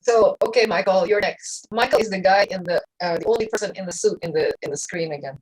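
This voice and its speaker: A woman's voice: medium pitch, fast talker, darkish tone, slightly rough, low-quality recording. A woman moderating an informal online event, handing over to the next speaker in a light, apologetic way. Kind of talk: casual moderating.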